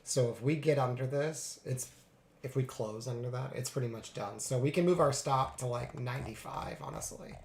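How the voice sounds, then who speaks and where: A man getting over a cold, a little congested, narrating his live trades in a relaxed way with many fillers. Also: mid-range voice, moderate pace, reverberant, a little hiss or hum. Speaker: futures day trader streaming live. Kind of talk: live trading commentary.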